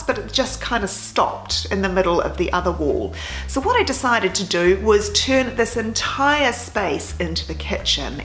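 A woman's voice: on the low side, fairly bright tone. A woman in her thirties who hosts a home renovation vlog, talking casually to camera in her home kitchen and explaining her choices step by step with plenty of enthusiasm. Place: home kitchen with tiled walls, indoors. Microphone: camera at medium distance, facing her. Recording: roomy kitchen echo with faint background music.

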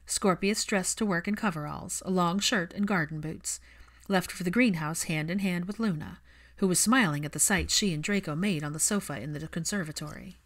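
Lower-pitched woman reading a story. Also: moderate pace. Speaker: fan fiction audiobook narrator. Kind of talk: scripted story narration.

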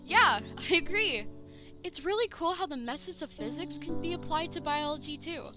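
High-pitched, friendly college girl, acted by a woman. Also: lively intonation, moderate pace. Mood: friendly, teasing. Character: friendly, assertive young female college student. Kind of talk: comic dub character acting. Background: music.

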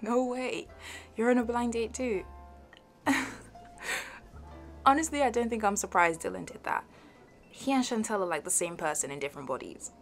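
A woman's voice: a little high, normal speed, long pauses, soft background music, natural voice. A woman playing a playful, shyly fond young best friend in an intimate audio roleplay, giggly and hesitant. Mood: amused, incredulous. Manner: giggly, hesitant, soft trailing asides. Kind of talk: intimate audio roleplay, one side of a conversation.

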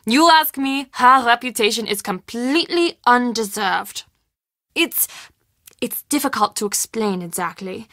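An actress playing a friendly, earnest castle hostess in a video game, confiding and expressive in manner. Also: female voice, on the high side, dry recording, clean studio sound. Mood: chatty, confiding. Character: friendly young German castle hostess, earnest, spooked, chatty. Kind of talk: video game dialogue acting.

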